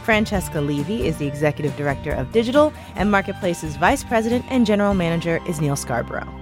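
Low-voiced female podcast co-host. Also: animated, fast, over music. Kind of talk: casual chat.

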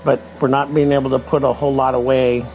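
A man's voice: mid-range voice, flat delivery, fast talker, a little mellow, soft background music, poor audio. A man in his sixties, a poll respondent, talking in a casual, candid way. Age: 60s.

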